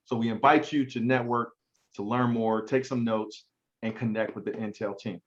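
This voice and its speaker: Male event host, casual and conversational, mid pitch, slightly dull lo-fi sound. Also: fairly even intonation, normal speed. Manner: casual, conversational, frequent fillers. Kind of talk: virtual event hosting.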